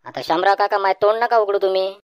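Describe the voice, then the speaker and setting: A young village man as acted by a woman who talks quickly in a rural Varhadi Marathi accent. He is wary and plain-spoken, with wry back-talk suited to a comic cartoon. The voice sits at a medium pitch in a dry recording. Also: fairly even intonation, clean tone, no echo, lo-fi sound, natural voice. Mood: challenging. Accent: Varhadi Marathi (Vidarbha).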